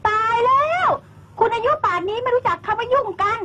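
A blunt, insistent young woman in a drama. She talks in a very high voice with a lively, rising and falling melody, and she is sharp and scolding. A faint background noise runs under her lines. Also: normal speed. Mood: indignant.

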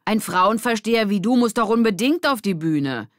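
A woman's voice: medium pitch, animated, moderate pace, no room sound, pitched above the performer's own voice, lightly acted. A swaggering, teasing schoolboy from a children's audiobook, acted out by a woman. He speaks standard German with a mocking edge. The recording is dry and close. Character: cheeky, boastful schoolboy gang members, teasing.

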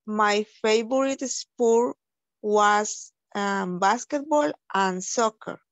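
Very slow, halting woman from El Salvador. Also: medium pitch, no room sound. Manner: halting, careful reading with pauses. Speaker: student. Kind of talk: reading a written exercise aloud.

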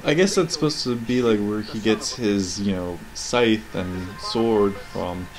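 Man, low voice, casual game commentary, rambling and critical, music behind. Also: measured pace. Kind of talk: casual unscripted commentary over game cutscenes. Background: game cutscene audio and music.

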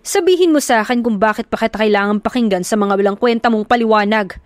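A woman's voice: on the high side, fast talker, dry recording, lightly acted. A woman narrator voicing a young businessman who bitterly confronts his unfaithful girlfriend. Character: hurt, angry young man, a CEO.

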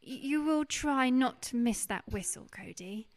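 A woman as a high-voiced, gentle older wife. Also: animated, unhurried. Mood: gently doubting. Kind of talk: radio play acting.

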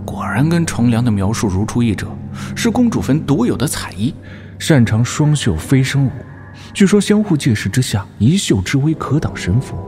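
A knowing martial-world onlooker, acted by a man, thinking aloud in an audio drama. His pitch is middling and moves with lively swings. Music plays clearly behind him. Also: moderate pace, natural voice. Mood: puzzled, musing. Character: astonished, knowing male wuxia onlooker. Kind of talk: inner-monologue exposition in audio drama.

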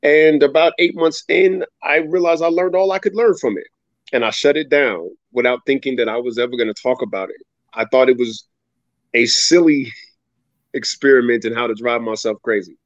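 Male guest, 30s, dramatic animated storyteller, webcam at home, flat dull dry audio. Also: medium pitch, moderate pace, dry recording. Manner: animated, dramatic storyteller who acts out quoted voices. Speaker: guest speaker. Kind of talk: storytelling interview answer. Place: home room with ceiling fan, indoors. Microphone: video call webcam.

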